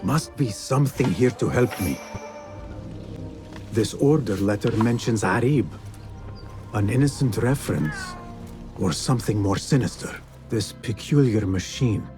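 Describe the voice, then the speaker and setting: Calm, terse young assassin for a video game, low register, music underneath. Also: male voice, measured pace, pauses often. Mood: watchful, musing. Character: calm, watchful young male assassin investigating. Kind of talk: video game voice acting, muttered observations.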